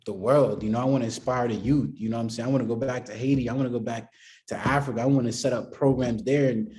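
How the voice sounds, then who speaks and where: A man's voice: mid-range voice, fairly even intonation, fast, darkish tone. A man from the Boston area, a music producer being interviewed, talking casually and rambling with lots of "you know what I mean" fillers.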